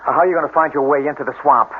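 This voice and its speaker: A man's voice: fairly high pitch, steady tone, very fast, natural voice. A male actor playing an earnest, anxious man in a radio drama with first-person narration. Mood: urgent. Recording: noisy, tinny old radio broadcast.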